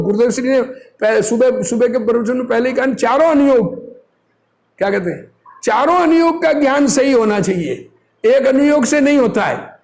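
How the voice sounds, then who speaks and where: A man giving an informal sermon, reading from a text and explaining it didactically, repeating phrases and posing rhetorical questions. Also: very high voice. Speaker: religious teacher reading and explaining a discourse.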